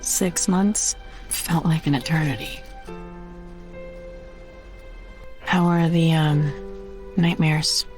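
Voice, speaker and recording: A woman's voice: sits low, fairly even intonation, slow, darkish tone, faint music behind. A woman acting a caring, steadfast navy sweetheart in a film drama, earnest and supportive. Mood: concerned. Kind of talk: dramatic animatic film dialogue.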